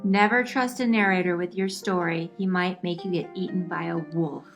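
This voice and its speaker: A woman's voice: on the low side, steady tone, moderate pace, soft background music, natural voice. A woman voicing a naive, foolish little mermaid girl in a puppet show, with a singsong manner. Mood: indignant.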